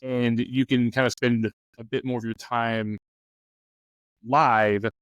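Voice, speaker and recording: A man gives casual advice as a panelist on a tabletop gaming show. His voice is clean and smooth at a medium pitch. It is recorded dry, with hardly any room sound. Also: level tone, takes it slowly, a bit bright, dry recording. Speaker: game master giving advice as show panelist.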